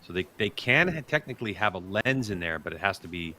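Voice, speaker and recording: A man's voice: low voice, expressive, fast, sharp tone. A man hosting a live sports watch party, commentating on the action as it happens. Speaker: host and commentator. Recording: noticeable background noise.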